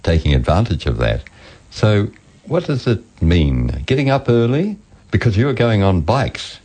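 A man's voice: fairly low pitch, big pitch swings, moderate pace, a little mellow. A man interviewing a guest, asking questions in formal, wordy phrasing.

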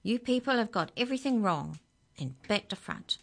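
A woman voicing a small talking budgie in a storybook, high-pitched, earnest and insistent. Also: lively intonation, normal speed. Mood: agitated, indignant. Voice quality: high-pitched, squeaky cry. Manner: agitated, insistent.

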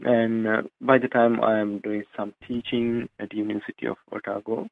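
A man in his thirties with a Sri Lankan accent, whose native tongue is Sinhala, talks hesitantly, pausing on fillers. His voice has a gravelly edge. It comes through a dry, narrow, phone-like line. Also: fairly low pitch, little pitch movement, no room sound. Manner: hesitant, many fillers and restarts. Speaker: radio show host, guest. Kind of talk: interview answers.

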